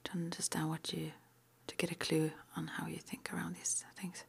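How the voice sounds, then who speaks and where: A woman whispering an ASMR roleplay interview, playing a witch school interviewer with gentle, reassuring, hesitant phrasing. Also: low voice, monotone, moderate pace, gravelly, husky.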